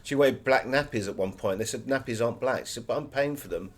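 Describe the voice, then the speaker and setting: A man's voice: medium pitch, fast talker. A man giving conversational interview answers as an author, full of anecdotes.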